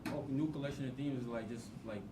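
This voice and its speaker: A young man from Queens who grew up speaking Yoruba talks quickly and loosely, leaning on "like" and "you know." His voice sits at a middle pitch. Steady background noise runs under the talk. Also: far from the mic. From Queens, New York. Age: 20s. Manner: relaxed, many fillers like "like" and "you know". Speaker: guest, clothing brand owner. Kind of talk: casual interview. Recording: noisy student TV studio.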